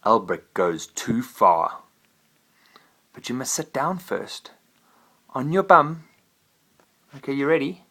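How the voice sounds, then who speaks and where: A father reading a bedtime story aloud to his child, gentle and animated. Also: medium pitch, takes it slowly, long pauses.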